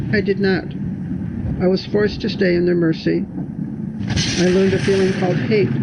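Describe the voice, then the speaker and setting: Gravelly older woman, even and lo-fi. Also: lowish voice, noisy background. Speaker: elder telling her life story. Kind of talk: slow recited spoken-word storytelling. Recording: dull lo-fi video recording.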